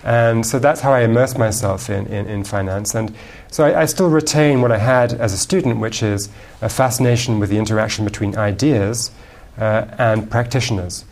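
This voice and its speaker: A man's voice: fairly low pitch, normal speed, few pauses, darkish tone. A man from England with an English accent tinged with American, answering interview questions fluently, with frequent ums and repeated words. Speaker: guest author.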